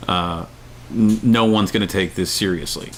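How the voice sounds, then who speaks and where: A man with a fairly low voice talks as a show co-host. He gives his opinions casually and emphatically, often repeating words to stress them. There is some noise in the background. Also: normal speed.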